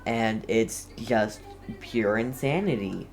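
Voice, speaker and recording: A man giving casual game commentary in a halting, rambling way. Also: lowish voice, soft background music. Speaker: gamer playing through a story quest. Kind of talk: casual game commentary, reading dialogue aloud.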